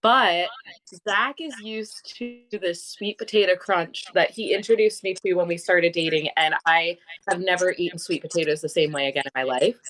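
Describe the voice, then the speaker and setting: A woman's voice: on the low side, normal speed, music in the background, low-quality recording. A young adult woman co-hosting a show, chatting casually in a chatty, enthusiastic way. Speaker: co-host. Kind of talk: casual chat.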